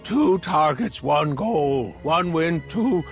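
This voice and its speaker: A man's voice: fairly high pitch, lively intonation, slow pace, faint music behind. A man playing a cowardly, whining, self-serving slicer in a video game, stammering and pleading nervously. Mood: anxious bargaining. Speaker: captured comms technician informant. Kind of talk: video game cutscene acting.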